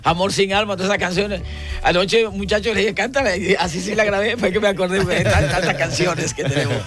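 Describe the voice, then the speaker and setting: High-voiced jovial musician. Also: male voice, fast talker, a bit bright, faint music behind. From Colombian Caribbean coast. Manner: jovial, laughing storyteller. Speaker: vallenato musician guest. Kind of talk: casual radio interview.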